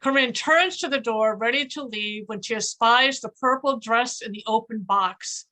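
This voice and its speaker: A woman narrates a play script by reading out its stage directions. Her tone is bright and crisp-edged. The pitch runs a little higher than average. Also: normal speed, poor audio. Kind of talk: scripted narration for readers theater.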